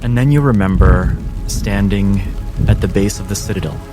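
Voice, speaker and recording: A man acting as game master, narrating a tabletop game cinematically in measured, deliberate phrases. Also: on the low side, over music.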